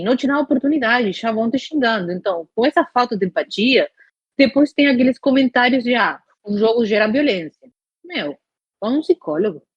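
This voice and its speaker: A woman's voice: a little high, breathy edge, no echo. A woman from Argentina, talking frankly and venting in a casual podcast chat. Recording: dull lo-fi dry recording.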